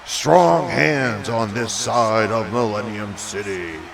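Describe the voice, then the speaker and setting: Man as a slow, gravelly ring announcer. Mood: grandiose. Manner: drawn-out, booming introductions. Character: grandiose male boxing ring announcer. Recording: crowd noise behind the announcer.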